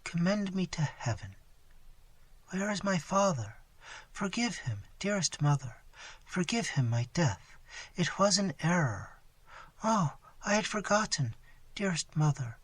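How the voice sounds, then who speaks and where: A male reader voicing a gentle young princess speaking faintly and softly as she dies, her words dropping toward a whisper. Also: mid-range voice, unhurried, few pauses, dry recording, pitched above the performer's own voice, natural voice. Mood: fading.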